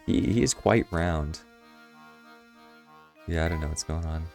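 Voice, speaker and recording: Brief: deep, gravelly streamer, slightly hoarse, rambling commentary, faint game music under. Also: male voice, takes it slowly, darkish tone. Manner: rambling, chuckling asides.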